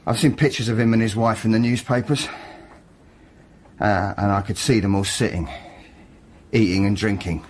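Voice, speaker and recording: An actor playing a bitter, ruined working-class man confessing to murder in a radio drama, halting yet defiant. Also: lowish voice, steady tone, a little hiss or hum, natural voice. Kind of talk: naturalistic radio drama acting.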